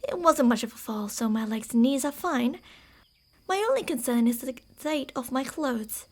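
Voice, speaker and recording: A woman voicing an obsessive female fox spirit in a borrowed human body, speaking in a calm, formal, quietly manipulative way that dips into whispers. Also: high voice, normal speed. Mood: devoted. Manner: soft-spoken, dipping into whispers. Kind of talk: ASMR roleplay.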